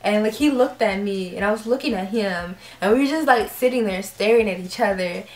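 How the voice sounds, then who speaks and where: A young woman vlogger telling a story casually, acting out her own reactions with lively quoted voices. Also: medium pitch, a little fast. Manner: animated, acts out quoted reactions. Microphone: camera mic. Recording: roomy echo.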